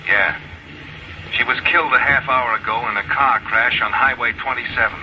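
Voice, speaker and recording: A man playing a sombre highway patrol officer in an old radio drama, halting and reluctant in delivery. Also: close to an everyday voice. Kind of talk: 1940s-50s radio drama acting. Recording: muffled old radio recording, slight noise.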